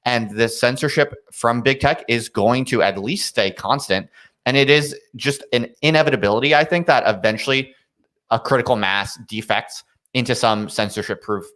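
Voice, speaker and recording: A man's voice: medium pitch, a bit bright. A man hosting a casual live stream discussion, earnest and emphatic, repeating himself to make his point.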